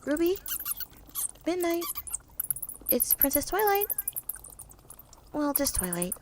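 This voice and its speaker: A woman's voice: sits high, big pitch swings, slow pace, darkish tone, a little hiss or hum, pitched above the performer's own voice, full character voice. A woman voicing a friendly, eager young female pony princess, sweet and polite, in a storybook reading. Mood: friendly, calling out. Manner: apologetic, bubbly, exclaiming.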